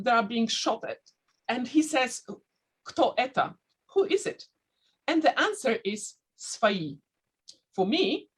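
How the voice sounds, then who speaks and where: A woman who learned English as a second language, speaking with a slight Dutch-sounding accent as a panelist in an online discussion, pausing and restarting as she looks for words. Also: on the high side, slightly rough. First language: not English. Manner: thoughtful, searching for words with frequent restarts.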